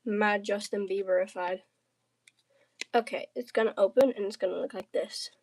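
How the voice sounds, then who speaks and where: A woman's voice: mid-range voice, fairly even intonation, normal speed, darkish tone. A woman from the southern United States livestreaming casually, chatting and bantering with viewers in a playful, slangy, jokey way. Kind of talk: casual livestream chat while crafting.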